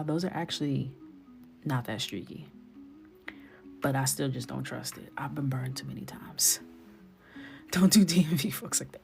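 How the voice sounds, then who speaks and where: A low-pitched young woman from New York talks casually and playfully as she colors at her desk, now and then dropping to a whisper. Faint music plays behind her voice. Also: a little mellow. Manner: playful, chatty, drifts into whispers and laughs. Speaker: illustrator coloring on a livestream. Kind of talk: casual chat while coloring.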